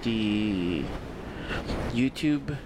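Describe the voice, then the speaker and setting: A man hosting a podcast in loose, rambling chat, swearing freely and filling pauses with ums. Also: lowish voice, animated, background noise. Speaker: podcast host.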